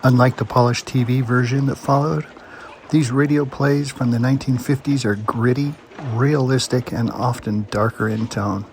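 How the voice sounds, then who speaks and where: Mid-pitched man presenting, faint noise. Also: steady tone, moderate pace, darkish tone. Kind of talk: scripted narration.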